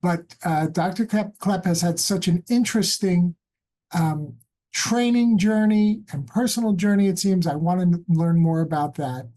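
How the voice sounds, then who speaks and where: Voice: medium pitch, normal speed, dark tone. A podcast host introducing a guest and asking long, rambling questions with many fillers. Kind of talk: interview hosting.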